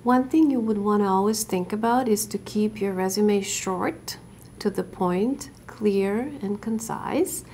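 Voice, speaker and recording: Gravelly-voiced woman giving career advice. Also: mid-range voice, warm tone, a little hiss or hum. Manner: measured, instructive, with some filler hesitations. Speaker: career workshop instructor. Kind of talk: interview explaining resume advice.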